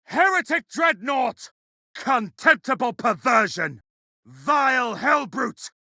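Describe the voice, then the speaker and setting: Piercing, high-pitched furious warrior. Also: male voice, big pitch swings, slow, no room sound, clean studio sound, heavily acted. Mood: furious shouting. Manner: loud, forceful, oratorical. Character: furious, vengeful male space marine warrior. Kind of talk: video game battle barks.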